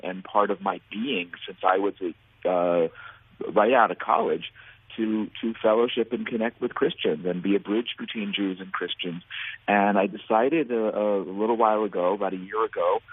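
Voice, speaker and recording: A man's voice: on the low side, normal speed. Earnest American man on a phone line. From United States. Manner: earnest, rambling with many restarts. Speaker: guest, nonprofit founder. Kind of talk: phone interview.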